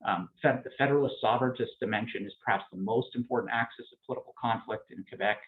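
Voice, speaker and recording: A man giving an academic political science lecture, explaining in a measured way with frequent fillers. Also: on the low side. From Canada. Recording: tinny phone-like lecture recording.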